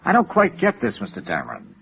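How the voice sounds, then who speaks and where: An American actor playing a sardonic, probing insurance investigator in 1950s radio noir, clipped and dry, on a vintage recording. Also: male voice, fairly low pitch, wildly swooping pitch, fast, vocal fry, husky, dull audio, exaggerated voice.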